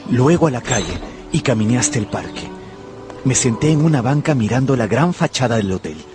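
A man acts an earnest, lovestruck young narrator in a radio drama. His mid-pitched voice moves expressively up and down over clearly audible music. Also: animated, brisk, natural voice. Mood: wistful narration. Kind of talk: first-person radio drama narration and dialogue.

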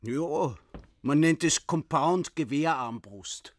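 A man's voice: on the high side, lively intonation, slow. A man with an Austrian accent playing a proud, self-important, eccentric survivalist in a radio play. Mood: proud, matter-of-fact. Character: proud, eccentric male doomsday prepper, self-reliant and suspicious.

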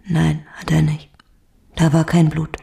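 Low, creaky, subdued mother under questioning. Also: monotone, full character voice. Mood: numb, hushed. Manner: quiet, halting, terse, often breathy near-whisper. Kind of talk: naturalistic German radio drama acting.

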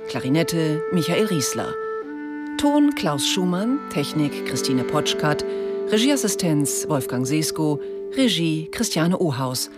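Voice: medium pitch, sing-song, takes it slowly. An announcer reading scripted credits for a radio play. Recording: loud guitar music under the voice. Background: plucked guitar music.

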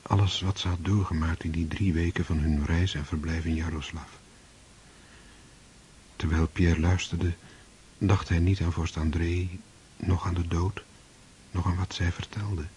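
A male narrator gives solemn, measured narration for a radio play. His voice is very deep and dark, with a faint hiss in the recording. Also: level tone. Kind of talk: scripted narration.

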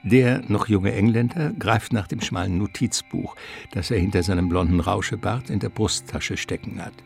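A man narrating a literary radio play in measured, formal storytelling diction. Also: lowish voice, gravelly, soft background music. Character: composed male storyteller of an old-fashioned adventure tale.

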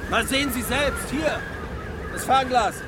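The hard-bitten commander on a U-boat tower in a radio thriller, curt orders in a raised, high voice over roaring sea. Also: male voice, unhurried. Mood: brusque, tense. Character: hard-bitten, commanding male submarine captain. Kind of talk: radio drama acting. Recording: loud outdoor sea and engine noise.

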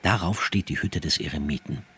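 A man narrating a radio drama in a measured, poetic, descriptive way, as a grave scene-setter. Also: low voice, fairly bright tone, slight background noise. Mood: solemn, descriptive. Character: grave, unhurried scene-setting male narrator.

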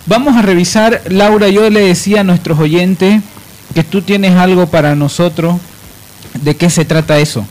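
A man's voice: high voice, normal speed, darkish tone, low-quality recording. A man hosting a live radio programme in a conversational style. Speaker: radio host.

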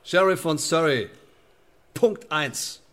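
An actor in a radio play voicing a pompous, pedantic sheriff who interrogates a suspect in stiff bureaucratic language. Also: male voice, a little high, animated, takes it slowly, a bit bright. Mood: pompous, indignant.

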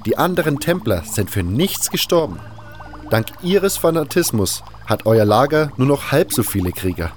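A man's voice: mid-range voice, big pitch swings, moderate pace, a little hiss or hum, lightly acted. An actor playing a blunt, weary adventurer who narrates his own quest and speaks his lines in an audio drama. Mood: reproachful. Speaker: narrator and protagonist. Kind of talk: scripted first-person audio drama narration and dialogue.

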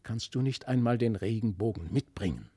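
A man's voice: on the low side, expressive, moderate pace, dark tone, breathy edge, dry recording, clean studio sound, voice pushed down. A man voicing a bold, eager little boy in a storybook, speaking impetuously and emphatically.

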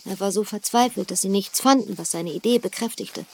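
An actress playing a frank, self-assured young widowed lady in a radio drama, telling things in a measured, confiding way. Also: medium pitch, darkish tone, slight background noise, close to an everyday voice. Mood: regretful. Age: young adult. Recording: studio drama with reverb and music.

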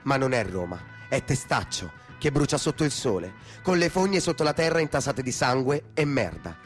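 A man's voice: mid-range voice, very animated, moderate pace. Man narrating theatrically over faint music. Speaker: actor narrating a radio play. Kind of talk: theatrical scripted narration with poetic recitation.

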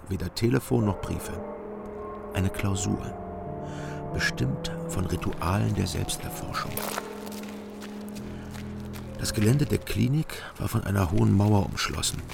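Gravelly, low-voiced weary detective. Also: male voice. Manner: dry, laconic. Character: weary, hard-drinking East German private detective, narrating his case. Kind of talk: radio crime drama narration and dialogue.

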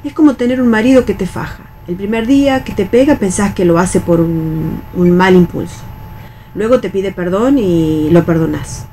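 An actress playing a resigned, bossy veteran factory worker in a radio play, with an Argentine accent and a colloquial, admonishing way of talking. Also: female voice, medium pitch, normal speed, dark tone, a little hiss or hum, close to an everyday voice. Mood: weary, resigned. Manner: colloquial, admonishing, world-weary. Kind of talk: radio read theatre.

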